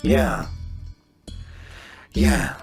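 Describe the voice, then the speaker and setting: A man voicing a gruff, sly cyborg gang boss with a gravelly growl, slow and falsely polite in a tabletop game. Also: faint music behind. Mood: slyly probing.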